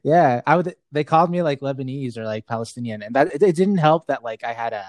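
A man's voice: medium pitch, expressive, fast, a little mellow, no room sound, clean studio sound. Rambling young man in casual chat. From Saudi Arabia. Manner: rambling, heavy on filler words, laughs often. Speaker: podcast guest.

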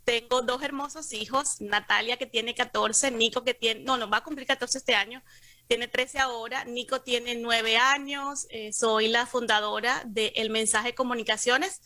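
A woman's voice: a little high, fairly even intonation, measured pace, bright, forceful tone, no room sound. A middle-aged woman with a Colombian accent, telling her own story conversationally as an interview guest. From Cartagena, Colombia. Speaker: interview guest, communications professional.

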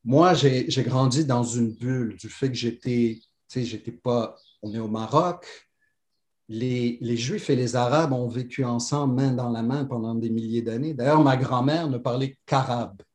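A man's voice: medium pitch, moderate pace. A middle-aged man with a mixed French, pied-noir and Moroccan accent with a Quebec tinge, telling his life story in a rambling way full of restarts. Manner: rambling, many restarts and fillers, warm and animated. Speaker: guest. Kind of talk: conversational storytelling. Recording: roomy echo.

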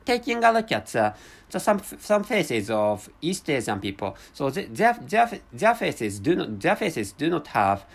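A man with a Japanese accent, a native Japanese speaker, talking unscripted in a second language, halting and searching for words as he corrects himself. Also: fairly high pitch, very animated, gravelly, slightly rough. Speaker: vlogger.

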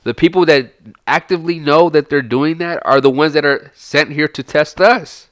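Pasadena guy on a podcast, kinda high voice, cussing and preaching about recovery, dry sound. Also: normal speed, fairly bright tone, no room sound. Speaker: podcast co-host. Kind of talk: casual podcast chat.

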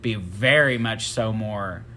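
A man's voice: lowish voice, slow pace, pushed and bright, slight background noise. A man co-hosting a podcast in casual chat, teasing and self-mocking. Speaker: podcast co-host.

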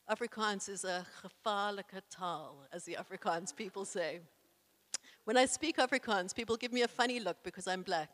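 A woman's voice: mid-range voice, fairly bright tone. A young South African woman, first language isiXhosa, reads a personal essay aloud in an earnest, proud way with careful phrasing. Her voice sits in a middle register and carries a long reverb from the room she is in. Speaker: speaker reading her own essay.

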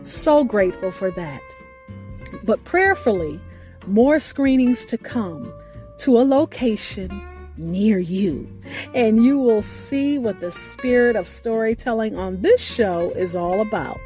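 A woman radio host reading a scripted show introduction with deliberate, announcer-like phrasing. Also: mid-range voice, expressive, warm tone. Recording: music bed behind the voice. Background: music.

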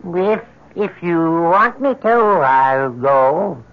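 An actor in an old radio horror drama playing a small, eerily courteous supernatural tempter, measured and insinuating. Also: male voice, a little high, expressive, dull audio. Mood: sly, insinuating.

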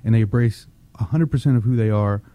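Dark-voiced, measured man from Texas. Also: on the low side, no room sound. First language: English, also grew up with Spanish. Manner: measured, explanatory, with frequent ums. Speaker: agency founder and CEO, guest. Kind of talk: interview answers.

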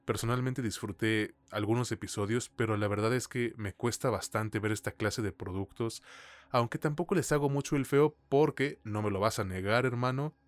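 A man's voice: mid-range voice, normal speed, no echo. A man with a Mexican accent giving a casual review as a podcast co-host, speaking informally with plenty of slang and swearing.

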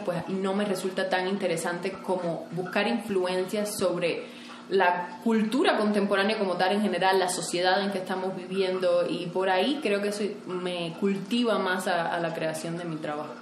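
A woman's voice: on the low side, normal speed. A woman from Cuba, a photographer talking frankly and animatedly as an interview guest. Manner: frank, animated, laughs easily. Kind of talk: relaxed conversational interview. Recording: echoey room, faint ambient noise.

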